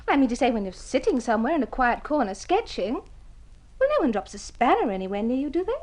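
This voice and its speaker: An actress playing a devoted, anxious young wife in an old radio drama, with an expressive, earnest delivery. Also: female voice, a little high, fairly quick. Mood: flustered, insistent.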